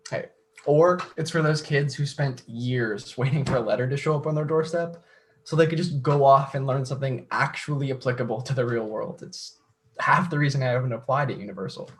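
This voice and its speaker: A man's voice: medium pitch, a little hiss or hum, natural voice. Hesitant, nerdy young man played by an actor. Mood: earnest, friendly. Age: young adult. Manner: hesitant, halting. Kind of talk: stage play dialogue.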